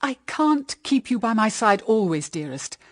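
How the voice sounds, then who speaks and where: An actress playing a grave, practical middle-aged British mother in a period radio drama, speaking in measured, earnest, formal phrases. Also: on the high side, sing-song, dry recording, clean studio sound. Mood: gentle, grave. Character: grave, pragmatic widowed mother guarding her daughters.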